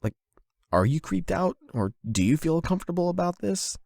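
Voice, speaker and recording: A man performing an intimate confession roleplay as a nervous, lovestruck young man, speaking in a halting, self-doubting, conversational way into a close microphone. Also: high voice, very animated, gravelly, darkish tone, dry recording. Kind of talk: emotional confession roleplay, ASMR-style monologue.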